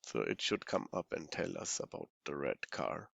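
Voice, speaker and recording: A man giving an informal software walkthrough, halting and thinking aloud, often murmuring to himself as he works. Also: very deep voice, big pitch swings, gravelly, no room sound, highs cut off. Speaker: software tutorial instructor.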